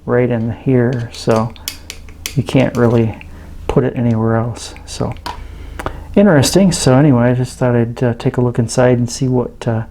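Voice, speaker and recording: A man with a mellow, gravelly voice talks through a hands-on demonstration. He is casual and understated, halting now and then as he thinks aloud, with a fry running through his mid-pitched voice. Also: steady tone, a little hiss or hum. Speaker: host of an electronics teardown channel.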